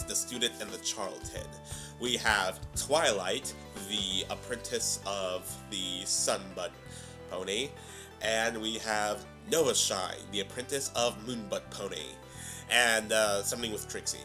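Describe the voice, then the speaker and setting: A man hosting a reaction video with excitable, hammy, theatrical commentary. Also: lowish voice, unhurried, music in the background.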